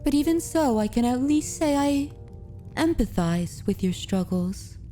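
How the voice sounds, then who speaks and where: Slow, dark-voiced woman playing a vampire mistress. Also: high voice, expressive, faint music behind. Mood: gentle, sympathetic. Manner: formal, deliberate, archaic wording, soothing. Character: aristocratic female vampire mistress, predatory yet gently consoling. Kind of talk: ASMR roleplay, scripted dramatic monologue.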